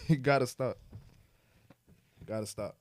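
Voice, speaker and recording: A man's voice: mid-range voice, darkish tone, no room sound. A man in his twenties co-hosting a podcast, talking casually and reflectively, rambling with lots of fillers and restarts. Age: 20s. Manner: rambling, halting, many fillers and restarts, says bro. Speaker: podcast co-host.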